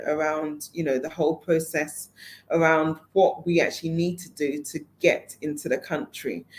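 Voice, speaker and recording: A woman organizing a network event, talking casually to her audience in a conversational way, with many fillers and restarts. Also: sits low. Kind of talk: casual online talk to an audience.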